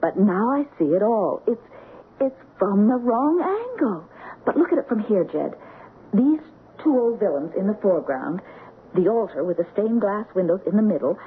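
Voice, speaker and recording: An actress plays an American wife and painter, dreamy but strong-willed. Her mid-range voice is intense and halting, with dramatic phrasing. The sound is that of 1970s radio drama, tinny with faint hiss. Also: mid-range voice, close to an everyday voice. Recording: tinny, noisy 1970s radio broadcast recording.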